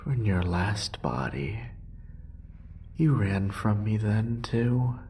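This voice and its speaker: A man playing an obsessive, murderous, possessive lover in a horror roleplay audio drama, with a breathy, gravelly voice and lingering repetitions. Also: lowish voice, animated, darkish tone, a little hiss or hum. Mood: tender, eerie. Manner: breathy whispering, drawn-out sighs, lingering repetitions.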